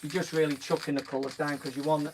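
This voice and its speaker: A man with a croaky voice casually explaining each step of a painting as he works. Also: on the high side, fast. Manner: relaxed, talks while working, frequent "so" and "just gonna". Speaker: painting instructor on a live stream. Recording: voice from a distance from the mic, faint background noise.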